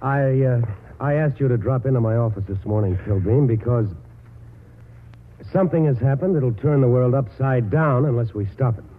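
An earnest small-town doctor played by a man speaks in a serious, formal way. The voice is husky and mid-pitched, coming through a narrow, muffled old radio broadcast. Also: moderate pace, close to an everyday voice. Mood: alarmed, grave. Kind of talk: 1940s radio drama acting.

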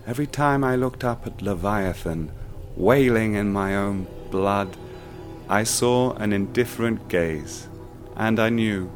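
An actor playing a calm, sinister male cultist who narrates his own dark initiation in a measured voice. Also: fairly low pitch, close to an everyday voice. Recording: loud music under voice.

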